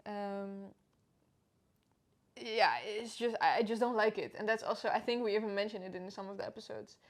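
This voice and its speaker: A young woman with a Dutch accent co-hosting a podcast, chatting casually in a measured way. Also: mid-range voice, steady tone. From Netherlands. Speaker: podcast co-host. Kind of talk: casual chat.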